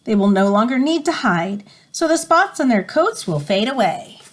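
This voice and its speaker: A woman's voice: fairly high pitch, very animated, normal speed. A woman reading an educational picture book aloud as a teacher, explaining and prompting listeners as she goes. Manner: explanatory, stops to define words and prompt listeners.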